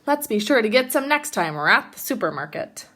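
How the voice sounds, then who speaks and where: A woman voicing a blustering father bear in a children's storybook, fond of his treats and quick to protest. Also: on the high side, big pitch swings, pushed and bright, heavily acted. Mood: agreeable. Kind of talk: children's storybook read-aloud.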